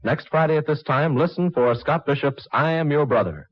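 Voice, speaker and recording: A man announcing in formal, measured 1940s radio style, reading scripted credits. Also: fairly high pitch, no echo. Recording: dull, dry 1940s radio recording.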